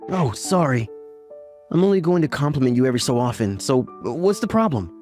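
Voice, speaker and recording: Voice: medium pitch, very animated, a little fast, darkish tone, natural voice. A performer voicing a caring, teasing young uncle in a romantic comedy manga story, mixing narration with dialogue in a mild manner. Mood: gentle teasing. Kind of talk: anime-style recap narration and dialogue. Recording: music under the voice. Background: background music.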